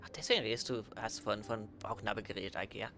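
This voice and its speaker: A man's voice: lowish voice, steady tone, fast talker. A man giving casual let's play commentary as he reacts to a video game. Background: faint game music.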